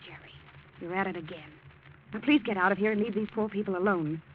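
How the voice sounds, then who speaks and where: A woman acting as a protective, worried young woman in a vintage radio play. She speaks with pleading firmness and a medium-pitched voice. The sound is thin and phone-like, with faint noise. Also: quick pace, close to an everyday voice. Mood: pleading, firm. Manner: pleading yet firm. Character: earnest, protective young woman, anxious and firm. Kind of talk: 1940s radio drama acting. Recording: thin, noisy old radio recording.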